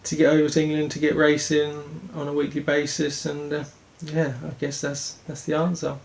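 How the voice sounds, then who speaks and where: A young man from Denmark, a speedway rider answering interview questions in an earnest, halting way with many restarts. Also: a little high, completely flat delivery, creaky.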